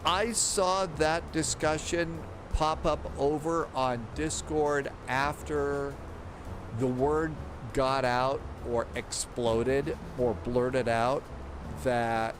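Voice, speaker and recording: A man's voice: mid-range voice, steady tone, drawn-out words, background noise. A man hosting a live flight-sim stream, reading viewer comments and rambling in a casual, thinking-aloud way. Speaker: livestream host. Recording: clear background music.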